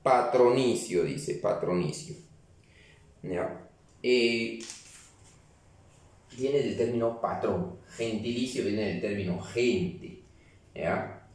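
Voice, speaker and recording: A man's voice: on the low side, slow pace, pauses often, darkish tone. Male teacher, keeps checking in with the class; heavy room echo, mic far away. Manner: explains step by step, keeps checking with "¿ya?". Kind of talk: classroom grammar lesson.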